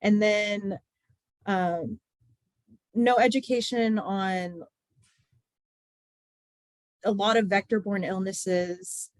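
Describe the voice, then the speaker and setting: A young woman from Texas talks on a call-in interview in a flat, self-described monotone, sprinkling her answers with "like" and "um". Her voice is mid-pitched and sounds very dry and close, with no room around it. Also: extremely slow, pauses often, no echo. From Texas, USA. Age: 20s. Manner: loose, chatty, many "like" and "um" fillers. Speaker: guest, nurse and patient advocate. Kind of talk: casual interview chat. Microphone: remote call-in.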